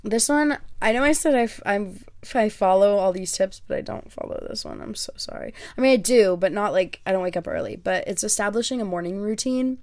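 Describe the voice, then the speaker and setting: A young woman, Greek by first language, hosts a solo podcast in a rambling, self-deprecating chat full of little fillers. Her voice has a gravelly, fry-tinged texture at an ordinary pitch. The sound is dry and close, with no room around it. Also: fairly quick, hardly any pauses, a little mellow, no room sound. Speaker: podcast host. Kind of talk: casual solo podcast talk.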